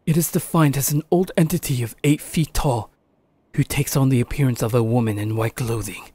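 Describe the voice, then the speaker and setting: A man playing a stern, grave old village grandfather in a horror audio drama, speaking solemnly and deliberately. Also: medium pitch, warm tone, breathy edge, no echo, lightly acted.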